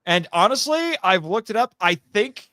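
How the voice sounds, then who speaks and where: A man chatting casually as a podcast guest, animated, often interrupting himself and thinking out loud. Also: sits high, normal speed, no echo, studio quality. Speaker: guest, audio drama writer and voice actor. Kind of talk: casual fan chat.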